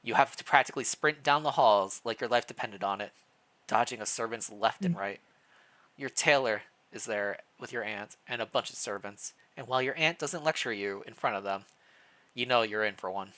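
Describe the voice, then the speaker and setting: A streamer reading fan fiction aloud on a casual livestream, playful and reacting out loud, sometimes stumbling over the text. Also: medium pitch, fairly quick.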